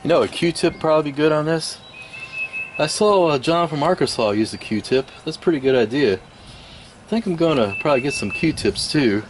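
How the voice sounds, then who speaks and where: A man giving a casual hands-on restoration demonstration, musing aloud in an unhurried, hesitant way as he works. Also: a little high, animated, slight background noise. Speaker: radio restoration hobbyist.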